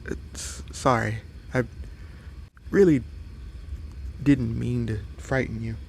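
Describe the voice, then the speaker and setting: A man voices a friendly forest fox boy in an audio drama, speaking slowly and haltingly with a gravelly creak. He is shy, apologetic and curious, over clear background noise. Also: mid-range voice, fairly even intonation, a little mellow. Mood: apologetic, gentle. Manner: hesitant, halting, apologetic, wide-eyed. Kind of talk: roleplay audio drama, addressing the listener.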